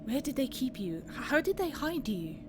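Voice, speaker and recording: A woman's voice: high voice, big pitch swings, normal speed. A woman playing a composed researcher questioning a dangerous subject in an audio roleplay, direct and businesslike. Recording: clear background noise and ambience.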